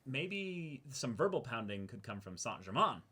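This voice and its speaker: A man's voice: medium pitch, very animated, measured pace, bright, forceful tone, husky. A man co-hosting a reaction show, casually discussing an anime episode in wordy, analytical phrasing.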